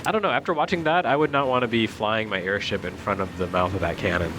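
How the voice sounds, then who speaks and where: A man with a fairly low voice chats casually as a game critic. His delivery is wry and joking, and there is clear rumbling noise behind him. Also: quick pace. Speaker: video game critic and commentator. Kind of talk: casual joking commentary over game cutscenes.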